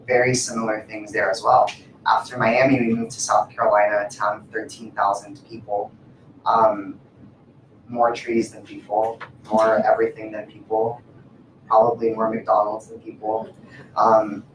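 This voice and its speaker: A man in his twenties on a discussion panel, who talks in a hesitant way with many fillers and restarts. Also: on the low side. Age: 20s. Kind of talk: casual panel talk. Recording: echoey room from far off, faint noise.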